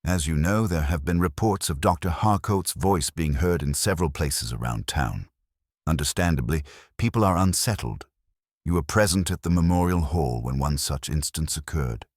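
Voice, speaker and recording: A man performs a courteous police inspector from a mystery story. His very deep voice rises and falls expressively while staying gentle and formal. He chooses each phrase with calm deliberation, in a dry, close sound. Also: moderate pace, no echo. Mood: civil, probing.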